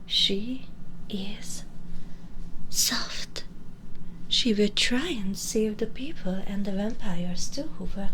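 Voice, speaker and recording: Woman acting a centuries-old vampire villainess, very slow taunts, breathy half-whispers, sadistic, condescending, close-up ASMR roleplay, noisy ambience behind. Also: medium pitch. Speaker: audio roleplay performer. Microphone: close binaural microphone.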